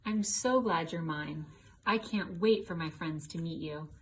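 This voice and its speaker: Storybook little girl read by a woman: loving, excited, earnest, faint noise behind. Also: on the low side, unhurried, lightly acted, rough recording. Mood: happy, loving. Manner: affectionate, earnest. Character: affectionate, excited little girl who loves her puppy.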